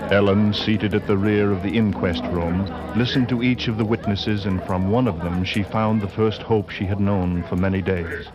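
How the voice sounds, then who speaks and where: An actor playing the grave narrator of a 1940s radio suspense drama, measured, dramatic and ominous. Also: male voice, fairly low pitch, steady tone, crowd noise, natural voice. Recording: muffled old radio recording, music underneath.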